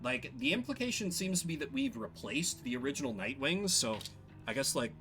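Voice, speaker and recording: A man's voice: fairly high pitch, expressive, fairly quick, a bit bright, faint music behind. A man giving casual livestream commentary as he plays a game, musing and thinking aloud. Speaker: game streamer.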